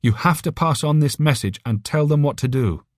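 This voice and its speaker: A man's voice: a little high, moderate pace, a little mellow, no echo, studio quality, voice pushed up, lightly acted. A man voicing an alien telepathic voice for an audiobook, urgent, friendly and earnest, with careful phrasing. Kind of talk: audiobook character voice.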